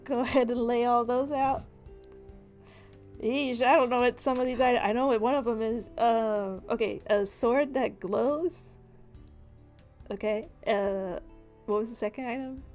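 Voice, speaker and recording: A woman's voice: fairly high pitch, steady tone, unhurried, long pauses, a little mellow. A woman acting as game master, narrating and bantering casually in a tabletop roleplaying game. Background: music.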